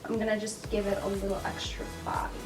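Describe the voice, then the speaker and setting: A woman's voice: medium pitch, level tone, fast. A nineteen-year-old woman chatting casually as a vlogger about her beauty training, in an upbeat way. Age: late teens. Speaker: vlogger and cosmetology student. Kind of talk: casual chat. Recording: roomy echo, distant mic, faint music.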